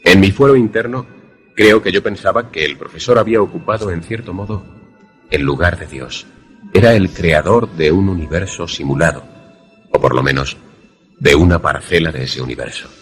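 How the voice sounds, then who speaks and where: A man's voice: low-pitched, normal speed, soft background music, natural voice. A man playing a brooding, lovelorn former student who narrates a suspense radio drama in a grave, literary manner. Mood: troubled, lovelorn.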